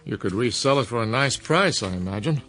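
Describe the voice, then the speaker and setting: Older academic for radio drama, male actor: mid-range voice, courteous, skeptical, quietly probing questions. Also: mid-range voice, expressive, brisk, faint music behind, natural voice. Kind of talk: modern radio drama acting.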